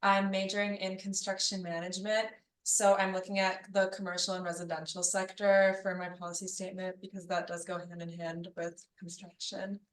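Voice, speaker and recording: A young woman student introduces herself in a matter-of-fact way. Her tone stays flat, and she sounds set back from the mic, with the room's echo around her. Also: lowish voice, fairly quick. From Illinois, United States. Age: young adult. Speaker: exchange student. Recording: distant, echoey classroom.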